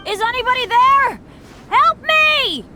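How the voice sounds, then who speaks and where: A woman's voice: extremely high pitch, expressive, slow, music in the background, exaggerated voice. A woman voicing an earnest, self-deprecating teenage boy adventurer in a video game, youthful and apologetic in manner. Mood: desperate. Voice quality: boyish, youthful. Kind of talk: animated game voice acting.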